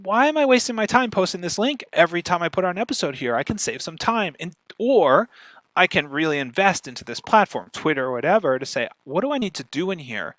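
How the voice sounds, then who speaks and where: A man's voice: sits high, animated, fairly quick, a bit bright, dry recording. A man speaking as a guest expert, explaining things casually and emphatically, often checking in with the listener. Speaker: guest speaker, podcast marketer.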